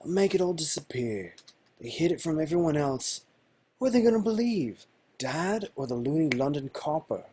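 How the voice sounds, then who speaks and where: A man's voice: a little high, big pitch swings, moderate pace, dark tone, slight background noise. A man reading a pleading part in an amateur table read over a video call.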